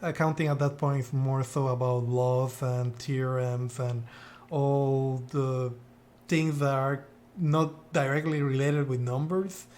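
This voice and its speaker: Puerto Rican man, relaxed rambling talk. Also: mid-range voice, slow pace. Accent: Puerto Rican Spanish. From Puerto Rico. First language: Spanish. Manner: thoughtful, rambling with fillers. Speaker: podcast co-host. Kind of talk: casual conversation.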